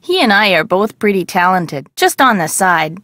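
A woman's voice: medium pitch, sing-song, normal speed, fairly bright tone, no echo. Candid, folksy shopkeeper woman. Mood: friendly. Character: friendly, candid woman running a desert craft shop. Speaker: shop owner character in an adventure game. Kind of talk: scripted video game dialogue.

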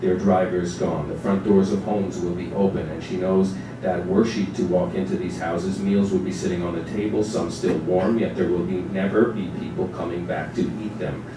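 A deep-voiced man gives a measured dramatic reading of narrative prose on a small stage. His delivery is deliberate and literary, caught from far off in a heavily echoing, noisy space. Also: fairly even intonation. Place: small theatre stage with seated audience. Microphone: distant camera microphone.

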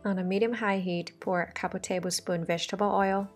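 A woman from Thailand narrates a home cooking lesson in voice-over, explaining each step in a friendly, reassuring way. Her voice sits at an ordinary middle pitch, and soft music plays faintly underneath. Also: fairly even intonation, a little fast. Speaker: cooking show host.

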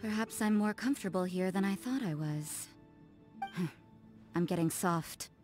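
Woman voicing a low, languid, aloof game nun. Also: unhurried, lightly acted. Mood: aloof, wry. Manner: languid, dry, detached. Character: cool, aloof, world-weary young nun, blunt and private. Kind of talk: English video game character voice lines. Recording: game music under the voice.

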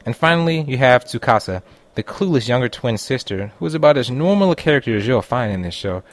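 Casting: male online reviewer, mid-pitched voice, scripted narration delivery. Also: lively intonation, quick pace, no echo. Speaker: anime reviewer.

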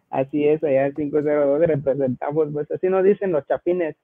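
A man's voice: fairly high pitch, fairly even intonation, normal speed, dry recording, phone-quality sound. A man in his twenties with a Guatemalan accent, telling his personal story casually as an interview guest. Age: 20s.

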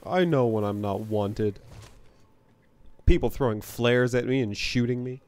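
A man's voice: medium pitch, a little slow, a little mellow. A man co-hosting a game stream, chatting casually with wry, sarcastic complaints. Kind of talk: casual gaming chat.

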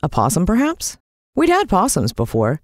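An American woman narrating a children's story in the first person, expressive and suspenseful. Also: lowish voice, normal speed, dry recording, clean studio sound. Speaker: audiobook narrator.

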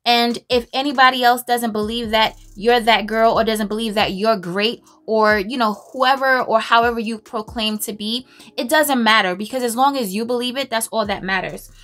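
A woman's voice: on the high side, fairly even intonation, moderate pace, a bit bright. A woman, chatting casually and emphatically while doing her makeup in a home closet. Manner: chatty, emphatic, self-assured, laughs often. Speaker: beauty vlogger. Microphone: camera on a stand, close.

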